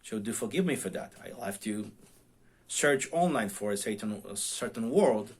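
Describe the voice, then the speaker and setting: Halting non-native English-speaking man, chatting casually. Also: lowish voice, expressive, husky. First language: non-English, self-taught English speaker. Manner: halting, searching for words, repeats word starts. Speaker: amateur radio vlogger. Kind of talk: casual unscripted talk to viewers. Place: home radio room.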